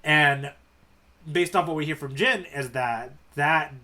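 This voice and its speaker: Higher-voiced man guiding a podcast chat. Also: measured pace, bright, forceful tone. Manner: explanatory, steering the discussion. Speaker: podcast co-host and guide. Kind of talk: casual podcast discussion.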